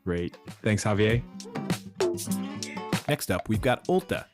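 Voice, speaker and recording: A man's voice: on the low side, very animated, slow pace, over loud music. A man hosting and reading scripted introductions of guests on a podcast. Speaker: host introducing startup founders.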